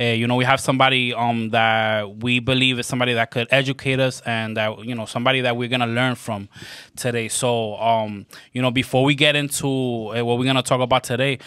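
Bright, crisp podcast co-host. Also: male voice, medium pitch, fairly even intonation, a little fast, no room sound, studio quality. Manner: rambling questions, many fillers. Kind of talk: casual podcast interview.

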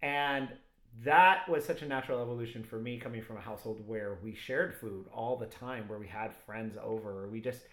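Male podcast co-host, mid-pitched, relaxed, in a roomy echo. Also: fairly quick. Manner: easygoing, uses fillers. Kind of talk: casual podcast chat. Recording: echoey room.

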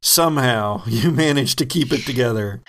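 A man's voice: mid-range voice, normal speed, fairly bright tone. A man narrating as game master of a horror roleplaying game, conversational and descriptive as he improvises.

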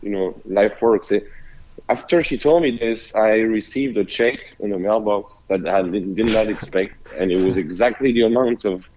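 French-accented male guest, lowish voice, halting delivery. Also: a little mellow, poor audio. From France. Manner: hesitant, many "uh"s and restarts. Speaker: restaurant owner, guest. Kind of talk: casual interview.

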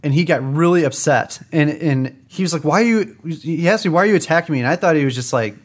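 A man's voice: on the high side, fast talker. Chatty man telling a gaming story. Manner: chatty, laughs often while telling stories. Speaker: podcast co-host. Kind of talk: casual chat, storytelling.

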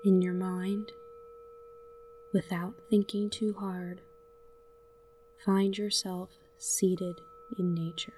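A woman narrating a scripted guided meditation in a gentle, soothing manner, leaving long pauses between short phrases. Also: fairly low pitch, little pitch movement, far from the mic, slight background noise.

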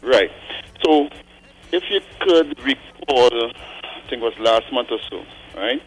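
A man in his forties calling in to a radio show, thinking aloud earnestly with frequent pauses and tag questions like "right?". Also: mid-range voice, animated, slightly rough, low-quality recording. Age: 40s. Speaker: caller. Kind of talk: call-in radio commentary.

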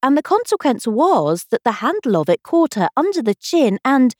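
Female storybook narrator, higher-pitched and animated, with a dry sound. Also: normal speed, dry recording. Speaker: audiobook reader.

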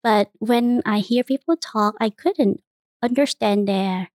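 A woman in her thirties from Vietnam, speaking English as a second language with a Vietnamese accent, answering interview questions in a careful, halting way. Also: on the high side, a little mellow, no room sound, clean studio sound. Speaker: interviewee, nun.

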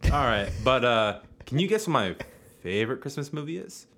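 A man's voice: medium pitch, very animated, moderate pace, a bit bright. A young man co-hosting a podcast in casual chat, sarcastic and joking, swearing freely. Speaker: podcast co-host.

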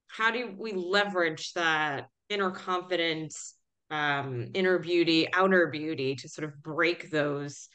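A woman in her forties hosts a podcast conversation. Her voice sits somewhat low. She is encouraging, often agrees with her guest and asks thoughtful, extended questions. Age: 40s. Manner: warm, affirming, frequent agreement sounds. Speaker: podcast host. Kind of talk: podcast interview.

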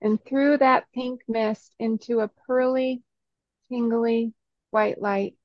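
A woman with a slightly high voice guides a meditation very slowly. She gives soothing instructions in short phrases and leaves long pauses between them. The recording is dry and a little dull. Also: fairly even intonation, no echo.

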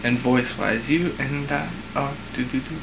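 A man's voice: mid-range voice, moderate pace, creaky. A man co-hosting a casual podcast, joking and teasing his partner. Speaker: podcast co-host. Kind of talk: casual chat. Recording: noisy home podcast recording.

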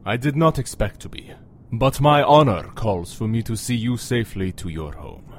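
An actor playing a formal, menacing yet lovesick vampire master in a radio drama, speaking in measured, old-fashioned phrases. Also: male voice, mid-range voice, big pitch swings, slight background noise. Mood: courtly, tender.

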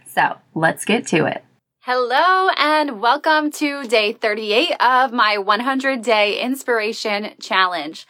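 A woman's voice: on the high side, animated, slow, bright, forceful tone, no echo. A woman hosting an inspirational podcast, talking earnestly and encouragingly about faith. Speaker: podcast host. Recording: recorded as a live video.

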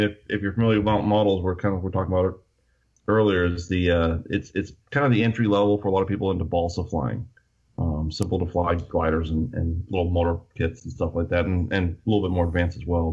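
A man co-hosting a casual podcast chat, joking around and stumbling over repeated words. His voice is fairly low. The recording sounds dull and lo-fi. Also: a little mellow.

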